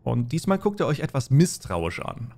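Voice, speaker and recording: A man's voice: mid-range voice, big pitch swings, normal speed, mellow. A man running a tabletop game as game master, describing scenes and instructing players in improvised narration.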